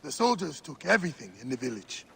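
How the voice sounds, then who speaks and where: An actor playing a friendly, frank village elder in a video game who teases and warns bluntly. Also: male voice, on the high side, very animated, quick pace, vocal fry, bright, forceful tone, a little hiss or hum, exaggerated voice.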